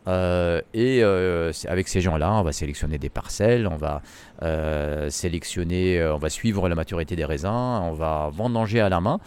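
A man's voice: sits low, moderate pace, a little mellow, clean tone. A middle-aged man from Lebanon, a winemaker giving a tour of his winery, talking with frequent hesitations. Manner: hesitant, many fillers. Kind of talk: guided tour interview.

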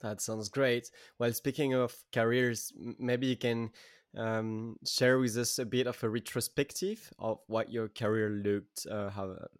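A man's voice: lowish voice, dry recording, clean studio sound. A man hosting a podcast, asking questions in a casual interview, with many fillers and restarts. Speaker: podcast host.

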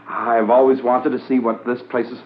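An actor playing a folksy, apologetic small-town shopkeeper who rambles hesitantly, in a 1950s radio drama. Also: male voice, medium pitch, level tone, measured pace, close to an everyday voice. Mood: earnest, hesitant. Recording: lo-fi 1950s radio recording, faint noise.

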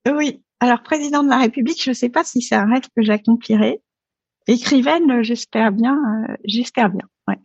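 Guest, woman, 30s, French, halting and reflective, dry slightly dull sound. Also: a little high, vocal fry, a little mellow, husky, no room sound. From France. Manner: hesitant, many fillers and restarts. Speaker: podcast guest. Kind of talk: casual interview talk.

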